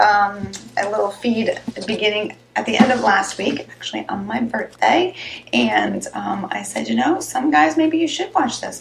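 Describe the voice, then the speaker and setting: A woman hosting a live health show, chatting casually and rambling a little, with a slightly hoarse, raspy voice. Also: a little high, slight background noise. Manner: chatty, rambling, greets viewers by name. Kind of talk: casual live-stream chat. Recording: echoey room.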